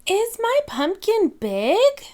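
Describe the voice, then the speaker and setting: Woman voicing an excitable high-pitched little girl pig. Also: slow, full character voice. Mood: eager. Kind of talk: children's storybook read-aloud.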